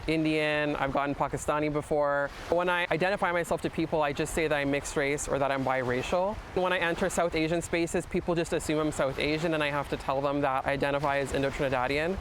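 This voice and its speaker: A man's voice: a little high, fairly bright tone, a little hiss or hum. A man speaking reflectively as a documentary interviewee, explaining his personal experience. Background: music.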